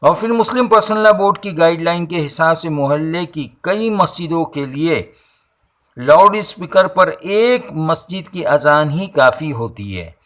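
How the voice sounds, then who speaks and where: A man delivers a scripted opinion monologue in formal language, with oratorical, deliberate phrasing. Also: on the high side, expressive, a bit bright. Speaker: social and religious commentator.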